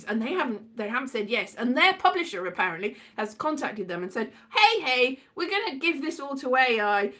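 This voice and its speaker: A British woman telling casual, rambling comic anecdotes in an animated, theatrical way, acting out exchanges as she goes. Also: high-pitched. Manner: animated, theatrical, acts out exchanges, breaks into sing-song. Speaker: solo host of an audio show.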